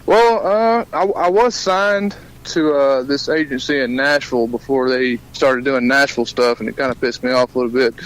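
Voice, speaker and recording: A 22-year-old man, chatting casually and haltingly as an interview guest, laughing often, a few beers in. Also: mid-range voice, rough recording. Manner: laughs often, informal, hesitant phrasing. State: has been drinking beer. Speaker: guest singer-songwriter and soldier. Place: calling in to a radio show.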